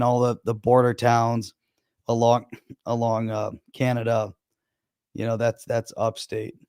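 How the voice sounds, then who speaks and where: A man's voice: medium pitch, clear, resonant tone, dry recording, studio quality. A man hosting a casual live stream, chatting and opinionated as he thinks aloud. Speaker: crypto show host. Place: home in Florida.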